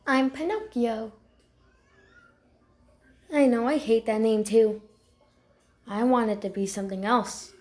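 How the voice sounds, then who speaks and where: A timid pampered house cat played by a woman in a cartoon animal story, speaking in a fairly high voice. The delivery is very unhurried and halting, stammering with awkward nerves and prone to dramatic, excitable outbursts. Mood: downcast.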